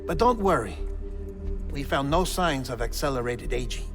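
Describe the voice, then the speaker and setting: A man's voice: mid-range voice, expressive, moderate pace, close to an everyday voice. An actor playing a talkative, fussy male doctor in a video game cutscene, explaining things at length. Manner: chatty, explanatory. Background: music.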